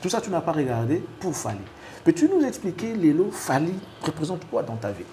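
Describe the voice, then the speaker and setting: Male interviewer, dark relaxed tone, probing delivery, light background noise. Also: fairly high pitch, a little fast. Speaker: interviewer, host.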